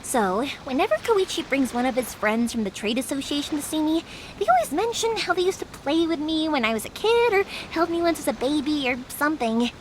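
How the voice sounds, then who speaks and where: High, lively young fireworks maker. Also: female voice, fairly quick, a little hiss or hum, rough recording. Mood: amused, embarrassed. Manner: breezy, chatty, expressive. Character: cheerful, bold young woman, fireworks maker. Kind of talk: English video game voice acting. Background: background music.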